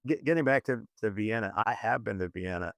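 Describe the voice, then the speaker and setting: A man discussing films conversationally as a podcast host, in a halting, self-correcting way. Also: fairly low pitch, gravelly, fairly bright tone, dry recording, clean studio sound. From moved to Zambia at eleven, schooled in Kenya.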